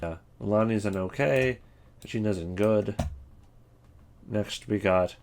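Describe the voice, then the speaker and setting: A man giving casual, opinionated video commentary on game voice lines, thinking aloud in halting, rambling sentences. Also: fairly low pitch, darkish tone, slight background noise. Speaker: video host.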